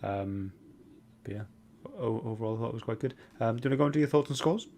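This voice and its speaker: A man's voice: medium pitch, quick pace, warm tone. A man hosting a casual, chatty book club discussion, speaking informally and moving things along. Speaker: podcast host. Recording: background noise behind the voice.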